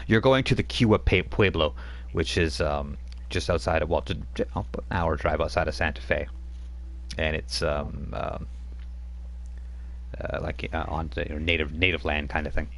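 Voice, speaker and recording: A man's voice: rumbling low, moderate pace, slight background noise. A man running a tabletop role-playing game as game master, chatty and rambling, laughing often as he talks.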